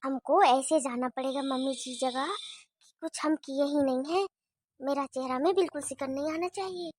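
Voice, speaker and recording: A woman's voice: very high-pitched, normal speed, no echo, studio quality. A woman playing a scheming elder daughter-in-law who mutters her plots and sweet-talks her mother-in-law in a homemade family drama skit. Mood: plotting, hushed. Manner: plotting in hushed mutters, sweet to her face.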